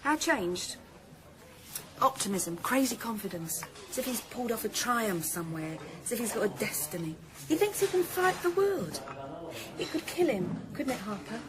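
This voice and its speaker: A woman's voice: on the high side, sing-song, normal speed. An actress in a radio drama playing an anxious, fed-up young mother who is defiant and blunt. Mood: worried, accusing. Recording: noisy background ambience.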